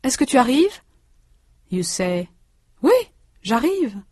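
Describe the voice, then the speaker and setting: Woman, course narrator, slow precise reading, dry close studio sound. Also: fairly high pitch, big pitch swings, a little mellow, no room sound. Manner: slow, careful, precise enunciation. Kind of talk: scripted instructional reading.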